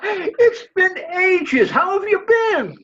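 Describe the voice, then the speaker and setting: A woman's voice: very high-pitched, lively intonation, takes it slowly, fairly bright tone, full character voice. A woman playing a genial writer greeting an old friend in a play reading, heard on dull lo-fi audio. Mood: delighted. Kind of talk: virtual readers theater play reading. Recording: dull, lo-fi video call audio.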